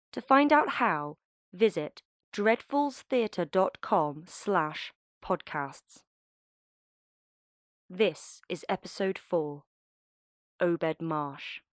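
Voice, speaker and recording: Slow, measured female podcast announcer. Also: fairly low pitch, no room sound, clean studio sound. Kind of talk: scripted credits narration.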